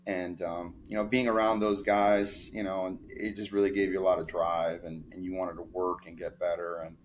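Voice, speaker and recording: A young man from New York, a sports agent telling stories in a relaxed, rambling interview with many fillers. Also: low-pitched, normal speed, a little hiss or hum. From New York, of Dominican family. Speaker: baseball player agent, guest. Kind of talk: casual interview storytelling.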